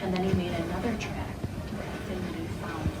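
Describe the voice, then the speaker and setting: A woman's voice: on the low side, steady tone, normal speed. Woman teaching children in a noisy, roomy classroom. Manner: instructive, questioning, repeats children's answers back. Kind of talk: interactive read-aloud lesson to young children. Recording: roomy, distant mic, loud classroom noise.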